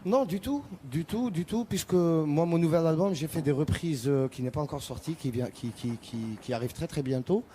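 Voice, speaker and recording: A male singer with Arabic as his first language talks through his answers in a TV interview, often stumbling and repeating words as he searches for phrasing. His voice is dark and mellow. Faint noise sits behind him. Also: a little high, normal speed, few pauses. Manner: hesitant, many repeated words and restarts. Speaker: singer, guest.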